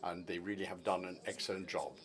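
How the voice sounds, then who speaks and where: Man, interpreter, low voice, measured formal delivery, frequent fillers. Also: level tone, fairly bright tone. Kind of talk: simultaneous interpreting of a report.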